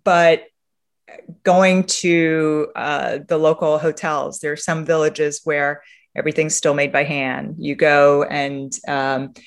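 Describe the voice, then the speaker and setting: A woman's voice: deep voice, little pitch movement, vocal fry. Reflective woman from Romania in conversation. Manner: reflective, halting, often rephrasing mid-sentence. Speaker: interview guest, sustainability leader. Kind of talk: conversational interview answers, telling travel anecdotes.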